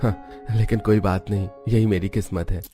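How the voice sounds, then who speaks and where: A man's voice: on the low side, fairly even intonation, normal speed, warm tone, faint music behind, close to an everyday voice. A man voicing a weary, hardworking poor labourer devoted to his family in a cartoon drama. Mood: resigned, wistful.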